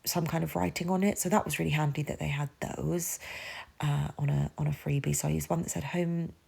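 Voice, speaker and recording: Low-voiced woman with a cold, stuffy and husky, rambly voiceover chat. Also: fairly even intonation, fairly quick, warm tone. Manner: rambling, self-deprecating, lots of fillers. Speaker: planner vlogger. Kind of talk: casual voiceover narration.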